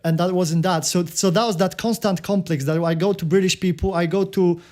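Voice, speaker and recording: A man from Poland with a Polish accent, hosting a casual interview in a halting way, often repeating and restarting his words. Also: high-pitched, fairly even intonation, a little mellow. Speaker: podcast host.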